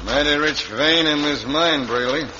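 A man's voice: fairly high pitch, a little slow, muffled recording, close to an everyday voice. Man as western henchman, noisy old recording. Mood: conniving. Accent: American. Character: callous henchman of western villain. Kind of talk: 1950s radio western acting.

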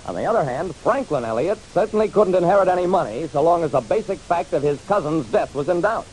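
A man's voice: fairly high pitch, expressive, fast talker, darkish tone, lightly acted, low-quality recording. An actor playing a hard-boiled private detective narrating his casebook in a wry, dramatic, punchy radio style. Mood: reflective. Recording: hissy old radio recording.

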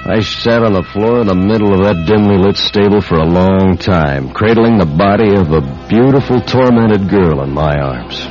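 An actor playing a troubled, earnest investigator in a grave, dramatic radio drama style. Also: male voice, low-pitched, moderate pace, few pauses, a little mellow, soft background music, natural voice. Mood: grieving, weary. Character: troubled, earnest male investigator narrating his case. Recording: dull radio drama recording.

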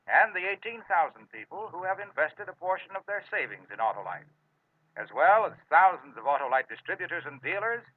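A man's voice: fairly high pitch, no room sound. An American man announcing a 1950s radio commercial in a polished, formal manner, on an old radio recording. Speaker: radio announcer, sponsor spokesman. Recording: tinny, narrow-band vintage radio recording.

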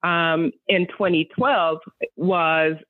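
A woman's voice: fairly low pitch, fairly even intonation, very slow, no room sound. A woman from the Bahamas, a guest telling her life story in a podcast interview, earnest and reflective, often restarting her sentences. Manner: earnest, reflective, frequent restarts and soft laughs. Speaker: guest, nonprofit founder. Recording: tinny phone-like line, dry.

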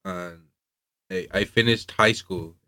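A young man from the Bahamas, a guest on a sports show, talking in a loose, easygoing way. His voice sits low but comes out bright and forward. The recording is dry and close. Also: takes it slowly, no room sound. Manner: loose, laid-back, lots of "like" fillers. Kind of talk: casual interview chat.

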